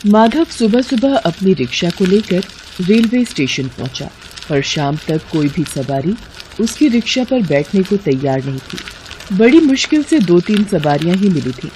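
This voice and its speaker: A woman's voice: lowish voice, big pitch swings, normal speed, darkish tone, slight background noise, poor audio. A woman narrating a scripted moral story as its storyteller.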